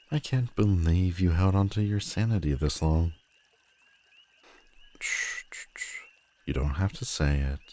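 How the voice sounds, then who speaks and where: Man playing a very deep-voiced, brooding supervillain. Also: darkish tone, full character voice. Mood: pitying, wry, then soothing. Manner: commanding, wry, soothing, intimate. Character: brooding male telepathic supervillain, protective yet arrogant. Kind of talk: roleplay audio drama, addressing the listener directly.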